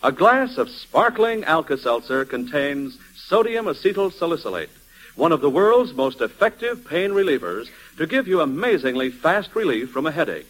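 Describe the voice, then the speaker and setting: Male radio pitchman, 1940s style: higher-set voice, swooping and persuasive. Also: sing-song, normal speed, a bit bright, rough recording. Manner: emphatic, persuasive delivery. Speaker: commercial announcer. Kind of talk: 1940s radio advertisement read.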